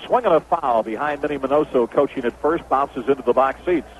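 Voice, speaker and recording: A man's voice: medium pitch, brisk, narrow, tinny audio. An American man calling baseball play-by-play as a 1970s radio announcer. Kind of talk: 1970s radio sports commentary. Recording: noisy phone-band vintage radio broadcast.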